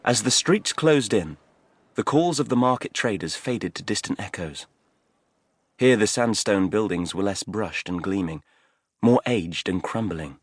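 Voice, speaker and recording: A man's voice: on the low side, expressive, measured pace, breathy edge, no echo. A man reading fiction as a dramatic audiobook narrator, from a script.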